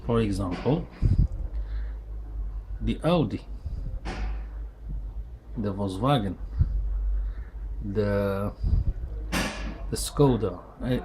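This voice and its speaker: A man's voice: fairly low pitch, lively intonation, drawn-out words, long pauses. A man whose first language is Arabic, speaking in English with many fillers and restarts, talking casually about a tool in a workshop. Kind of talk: casual product review vlog. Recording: noisy background.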